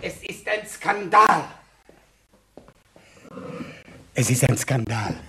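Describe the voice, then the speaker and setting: An actor playing a pompous, outraged school headmaster in a German radio play. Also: male voice, medium pitch, big pitch swings, measured pace, bright, forceful tone, full character voice. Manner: pompous, indignant.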